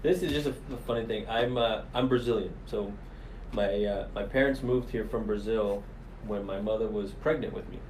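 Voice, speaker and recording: A man telling stories as a police commander guest in a casual interview. His voice sits a touch low and he takes his time, with frequent ums. The room is echoey, with faint ambient noise behind him. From United States. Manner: relaxed, pauses with fillers.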